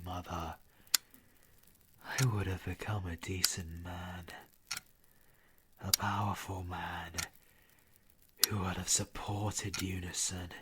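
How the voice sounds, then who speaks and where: Slow, low British werewolf villain in mourning, acted by a man. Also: background noise, exaggerated voice. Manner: slow, theatrical, anguished, often breathy and half-whispered. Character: grieving male werewolf villain, bitter and powerful, mourning his mother. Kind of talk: scripted audio roleplay monologue.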